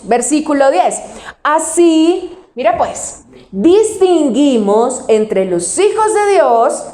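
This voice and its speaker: A woman's voice: sits high, a little mellow, reverberant. Woman pastor with a Cali accent preaching. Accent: Cali Colombian Spanish. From Colombia. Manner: questions the congregation and answers them herself; slows right down to stress single words. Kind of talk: sermon.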